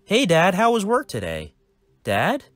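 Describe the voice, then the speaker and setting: A man puts on a squeaky, excitable teenage boy, nerdy and eager, firing off high questions. The recording is close and dry, with no room sound. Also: measured pace, dry recording, soft background music, full character voice. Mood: surprised. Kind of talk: homemade comedy skit.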